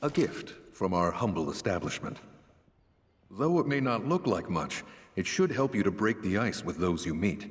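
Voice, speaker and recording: An actor playing a courteous innkeeper in a video game, speaking in a formal, polite, articulate way. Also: male voice, low-pitched, very animated, moderate pace, husky, heavily acted. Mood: courteous, helpful. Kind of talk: scripted video game dialogue.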